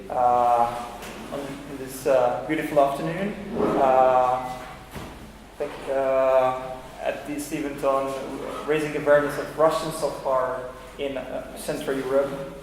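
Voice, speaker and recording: A man of middle pitch opens a panel event in a formal but hesitant way, filling gaps with frequent filler sounds. His voice carries through a roomy hall with a long reverb tail and a faint hum of noise. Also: flat delivery, unhurried. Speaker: moderator, think-tank director. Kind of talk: opening remarks at a panel event.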